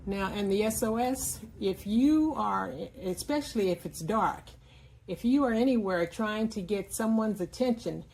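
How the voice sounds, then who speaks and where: Female product reviewer, medium pitch, plainspoken and explanatory, casual hands-on demo delivery with frequent mid-sentence pauses. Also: a little mellow, a little hiss or hum.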